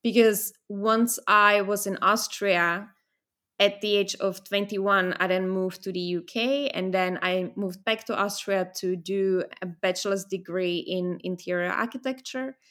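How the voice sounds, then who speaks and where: A woman in her thirties, born in the Czech Republic, talking through her memories as a guest in a relaxed conversation. She tells her stories thoughtfully and sometimes restarts a phrase, with a voice of middling pitch. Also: a little slow. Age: 30s. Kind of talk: casual interview conversation.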